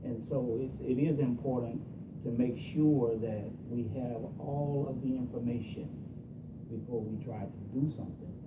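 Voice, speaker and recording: A man's voice: fairly even intonation, normal speed. A middle-aged married man talking on a radio show, giving advice through everyday examples and stories. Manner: explains with homely examples and stories. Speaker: guest on a talk show. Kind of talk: casual advice talk. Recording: echoey, muffled, from far off with rumble.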